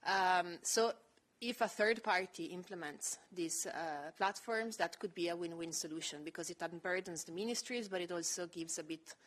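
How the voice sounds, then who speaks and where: A woman with a low voice giving a measured, explanatory panel presentation with frequent fillers. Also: a bit bright. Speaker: panel speaker presenting project research.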